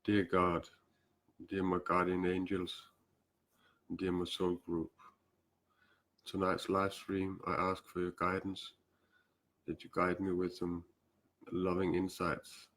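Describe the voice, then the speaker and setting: Slow, low Danish-accented man. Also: completely flat delivery, fairly bright tone. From Denmark. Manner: hesitant, fills pauses with "uh" and "um". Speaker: spiritual live streamer. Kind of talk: casual live stream talk.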